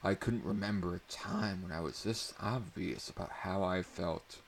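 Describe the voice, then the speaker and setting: A man's voice: sits low, normal speed, gravelly, slight background noise. A man reading a story aloud as narrator in a dramatic audiobook style.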